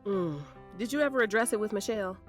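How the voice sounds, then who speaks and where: A woman playing a sharp, protective, sassy defense lawyer who questions frankly and colloquially in an audio drama. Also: mid-range voice, fast, soft background music, natural voice. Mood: pressing, restrained.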